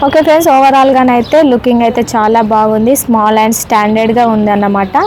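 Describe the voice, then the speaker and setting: A woman with a Telangana Telugu accent speaks in a fairly high voice. She gives a casual, explanatory gadget demo, often checking that viewers follow. Faint noise sits behind her. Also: steady tone, brisk, darkish tone, poor audio. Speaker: product reviewer. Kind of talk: casual hands-on gadget demonstration.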